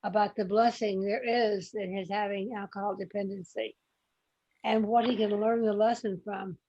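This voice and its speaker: A woman's voice: medium pitch, steady tone, moderate pace, warm tone. A woman facilitating a reflective spiritual group talk on a video call, speaking in an encouraging way. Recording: slightly dull call audio.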